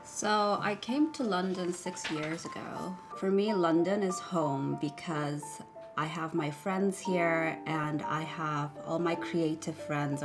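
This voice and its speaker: A young fashion designer from South Korea talks in a low, even voice. She speaks slowly and thoughtfully, and music plays clearly underneath her. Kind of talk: documentary interview.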